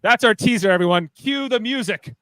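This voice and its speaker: Fairly high-voiced man narrating radio play. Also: expressive, fairly quick, sharp tone, dry recording, rough recording. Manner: informal, playful asides. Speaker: host and narrator. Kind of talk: casual narration of live radio-play script.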